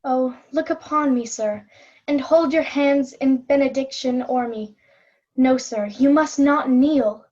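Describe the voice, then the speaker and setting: A woman's voice: sits high, takes it slowly, a little mellow. A woman playing a tender, grieving princess devoted to her father in a Shakespeare reading, recorded lo-fi. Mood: loving, pleading. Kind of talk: readers' theatre Shakespeare. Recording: dull, lo-fi sound.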